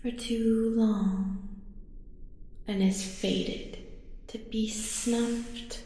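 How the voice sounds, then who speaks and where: A woman voicing an ancient, cruelly manipulative dark entity in an audio roleplay, speaking deliberately and menacingly, at times in whispers. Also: on the high side, level tone. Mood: gloating menace. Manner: slow, deliberate, savouring menace, dropping into whispers. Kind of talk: scripted audio roleplay, ASMR drama. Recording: long cavernous reverb, faint music behind.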